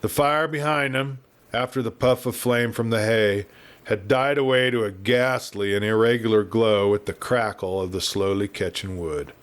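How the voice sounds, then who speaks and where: A man with an American accent reading a Western story as an audiobook narrator, in a measured, dramatic storytelling style. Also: lowish voice.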